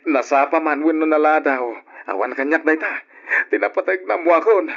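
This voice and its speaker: An actor playing an excitable young husband and expectant father in an old radio drama. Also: a little high, fairly quick, gravelly. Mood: overjoyed. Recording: dull, lo-fi radio drama recording.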